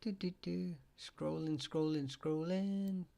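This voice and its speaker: A man hosting a live chat, talking haltingly with many fillers and restarts, his voice getting hoarse as he keeps clearing his throat. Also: fairly high pitch, steady tone, warm tone. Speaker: livestream host answering surname questions. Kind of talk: casual live-chat talk.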